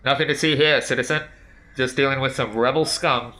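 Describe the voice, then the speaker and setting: A man's voice: medium pitch, fairly even intonation, fairly quick, sharp tone, lightly acted. A man voicing a curt, officious stormtrooper on patrol in a tabletop game, clipped and dismissive.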